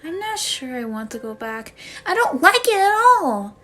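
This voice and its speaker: A woman's voice: a little high, big pitch swings, moderate pace, a bit bright, soft background music. A woman playing an anxious, lonely schoolgirl in a dramatic monologue, speaking in a hesitant, plaintive way. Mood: uneasy, upset. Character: anxious, lonely schoolgirl confiding in her mother. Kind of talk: dramatic monologue for a voice acting demo reel.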